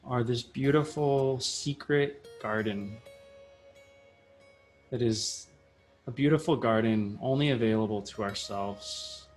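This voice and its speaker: A man leading a guided meditation in a soothing style, speaking in short, measured phrases with long pauses. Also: medium pitch. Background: soft plucked guitar music.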